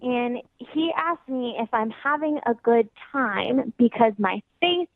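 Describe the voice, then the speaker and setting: A woman's voice: fairly high pitch, animated, slow, no room sound. A young woman on a phone call to a radio show, casually telling a story and peppering it with "like". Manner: filler-heavy, uses "like" often. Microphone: telephone. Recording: narrow tinny phone line.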